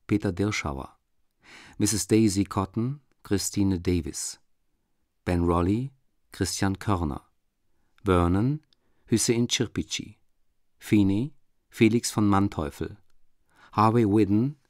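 A man reading scripted radio credits as a formal announcer in a measured manner. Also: low voice, a little mellow, dry recording, clean studio sound.

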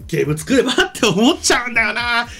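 A middle-aged man hosts a casual studio talk show, thinking aloud in a rambling way. His speech is quick and his pitch swings widely. Music plays clearly behind him. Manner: rambling, animated. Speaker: talk show host, anime and game writer. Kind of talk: casual opinion chat on a web show. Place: small studio set, indoors. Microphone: desk microphone on a stand.

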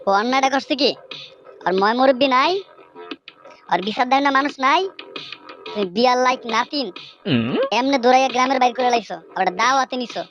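A woman's voice: high-pitched, brisk, long pauses, fairly bright tone, lo-fi sound, faint music behind. A woman voicing a protective, easily outraged old village grandmother in a comic cartoon, nagging indignantly with a Bangladeshi accent. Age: older.

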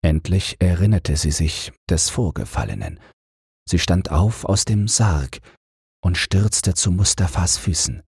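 A man's voice: low voice, animated, unhurried, creaky, darkish tone, slightly rough, no echo, clean studio sound. A man reading a scripted bedtime fairy tale as an audiobook narrator.

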